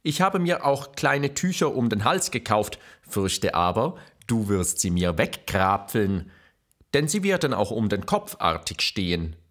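A man playing a courtly classical poet, reciting letters and verse in a measured, expressive way for an audio drama. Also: lowish voice, exaggerated voice. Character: famous male poet reading his letters and verse, courtly.

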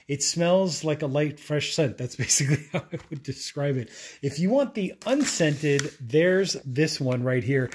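A man's voice: mid-range voice, darkish tone, slight background noise. A man casually reviewing products at a table indoors, talking in a rambling, chatty way with many fillers and restarts.

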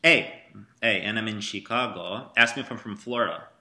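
Bright-voiced man teaching Arabic. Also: fairly low pitch, quick pace. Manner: patient, repeats praise, prompts the student. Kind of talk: one-on-one language lesson.

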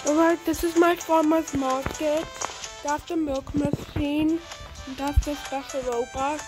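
A young girl casually narrating a tour of her game world, naming each character one by one with halting restarts, recorded as a voice-over. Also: high voice, fairly even intonation, poor audio. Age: under 10. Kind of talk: casual show-and-tell narration. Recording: music playing behind.

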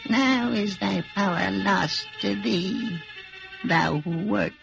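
A sinister old wizard gloats in a heavily creaking voice, drawing out every archaic phrase very slowly. It is old radio drama acting, dull in sound, with faint music. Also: male voice, high voice, full character voice. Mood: gloating, sinister. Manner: slow, incantatory, archaic.

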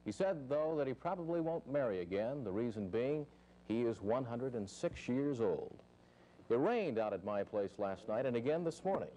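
A man's voice: mid-range voice, expressive, normal speed, mellow, slight background noise, rough recording. An American man in his thirties reading a scripted 1970s local newscast as anchor. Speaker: TV news anchor. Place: television news studio.

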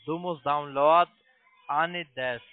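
A man who is not a native speaker, giving instructions on a phone call in halting, broken sentences as a call centre agent. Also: on the high side. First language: not German. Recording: tinny narrowband phone line.

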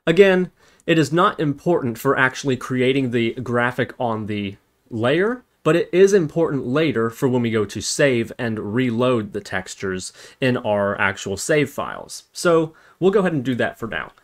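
A man's voice: mid-range voice, sing-song, moderate pace. A man narrating a step-by-step programming screencast as an instructor, explaining and thinking aloud as he works.

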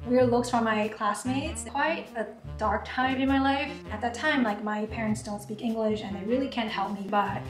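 A woman's voice: fairly high pitch, moderate pace, far from the mic. Twenty-something woman, chatty vlog q&a, echoey kitchen, music under it. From Taiwan. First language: Mandarin. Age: 20s. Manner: chatty, frequent "like" fillers. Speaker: YouTuber. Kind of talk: casual Q&A vlog. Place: home kitchen, indoors. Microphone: camera across the counter.

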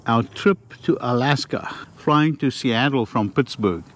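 A man in his sixties chatting casually as host of a travel vlog, in a halting way that repeats phrases. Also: mid-range voice, fairly bright tone. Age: 60s. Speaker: vlog host, roofing contractor. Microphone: clip-on lapel mic. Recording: noisy on-location vlog audio.

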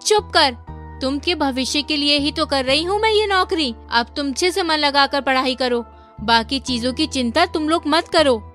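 Dutiful young cartoon heroine, voiced by a woman: high, earnest, pleading. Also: moderate pace, fairly bright tone, faint music behind. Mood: worried, firm.

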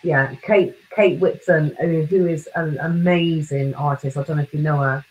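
A woman's voice: deep voice, steady tone, normal speed, a little mellow, muffled recording. A woman hosting an interview over a video call, talking in a hesitant way with likes and ums. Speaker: interviewer, host. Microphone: webcam on video call.

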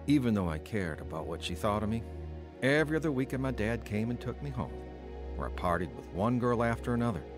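A man's voice: lowish voice, very animated, quick pace, music in the background. An actor playing a remorseful man, earnestly narrating his troubled, drug-addicted youth in a radio drama. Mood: reflective. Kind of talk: scripted first-person radio drama narration.

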